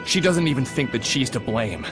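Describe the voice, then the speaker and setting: An actor playing a gruff, brooding, hard-bitten fighter in a video game, blunt and terse. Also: male voice, medium pitch, sing-song, normal speed, lightly acted. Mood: grim, cold. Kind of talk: dramatic video game voice acting. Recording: loud music over the voice.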